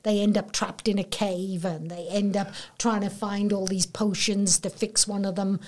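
A middle-aged Welsh woman being interviewed, her voice pitched at an ordinary middle height. She talks in full, earnest stories with a motivational ring, speaking as a chief executive. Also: flat delivery, moderate pace, a little mellow. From Wales. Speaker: council chief executive, guest. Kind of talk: casual interview.

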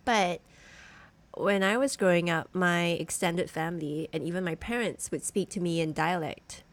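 A woman's voice: lowish voice, moderate pace. Reflective woman co-hosting a podcast. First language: English. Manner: reflective, laughs easily, curious questions. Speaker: podcast co-host. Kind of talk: casual conversational podcast.

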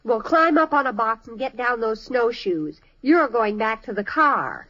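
An actress playing an eager, tender-hearted little girl in an old radio drama, earnest and excitable. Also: fairly high pitch, a little slow, muffled recording. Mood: scheming. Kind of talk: 1950s radio drama acting. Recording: old radio broadcast recording.

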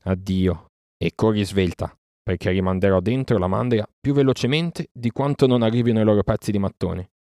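A man's voice: fairly low pitch, moderate pace, mellow, no room sound, studio quality, lightly acted. A man voicing a proud, bold boy raised in the jungle, speaking emphatically in a storybook reading. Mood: scornful, defiant. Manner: emphatic, declamatory.

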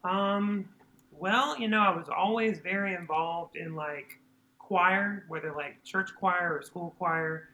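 A man from North Carolina with a naturally high speaking voice, chatting lightly as an interview guest. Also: slow, bright, forceful tone, highs cut off, a little hiss or hum. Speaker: guest singer-songwriter. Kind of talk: casual interview chat. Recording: roomy sound with faint guitar.

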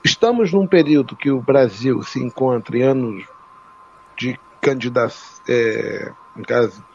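A man talks very slowly, feeling his way toward each word. The sound is dull and lo-fi. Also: medium pitch, creaky, a little hiss or hum. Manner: slow, searching for words. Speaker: podcast co-host. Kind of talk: casual podcast chat.

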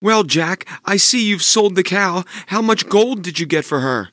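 An exasperated mother scolds in a very high, shrill voice, put on by a man reading the tale. Her tone is sharp and disbelieving, pitched far above a normal speaking voice. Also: lively intonation, measured pace, no room sound, heavily acted. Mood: scolding. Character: Jack's mother, an exasperated woman.

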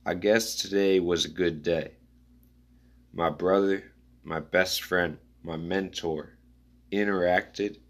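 Slow, low-voiced man rambling. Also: fairly even intonation. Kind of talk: rambling spoken-word musing, reading own notes aloud.

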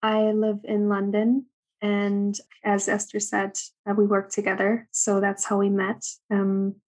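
Young woman from Germany as a guest, flat delivery, soft and hesitant. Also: medium pitch, measured pace, low-quality recording. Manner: soft-spoken with many ums. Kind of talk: casual interview chat.

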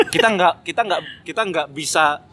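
A man speaks in a bright, fairly high voice over audible background noise. He argues casually but emphatically, critical in tone, often repeating phrases to press his point. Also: moderate pace. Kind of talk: casual opinionated discussion.

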